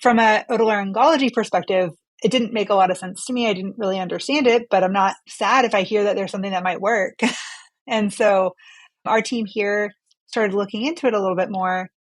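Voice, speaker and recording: A woman's voice: medium pitch, fairly quick, no room sound. A woman physician speaking as an interview guest, explaining things in a conversational way.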